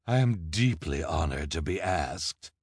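A man's voice: a bass voice, animated, a little slow, vocal fry, a little mellow, husky, dry recording, studio quality, heavily acted. A man voicing a villainous, showy actor in an audiobook, nasty and cowardly with a pompous, oily manner. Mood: smug, evasive. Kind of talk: audiobook character acting.

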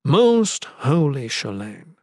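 A reverent zealot lord , voiced by a male narrator, formal and commanding. He intones piously in a raised pitch, in a dry fantasy audiobook recording. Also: lively intonation, normal speed, dry recording. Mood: reverent, courteous.

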